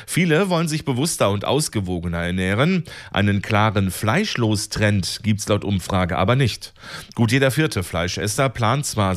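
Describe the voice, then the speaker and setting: A man reading a scripted report as a radio news reporter. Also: fairly low pitch.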